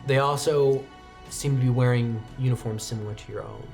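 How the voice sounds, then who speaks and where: A man narrating a tabletop roleplaying game as game master, setting scenes in a measured way and dropping soft for quiet details. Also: medium pitch, fairly even intonation, creaky, soft background music.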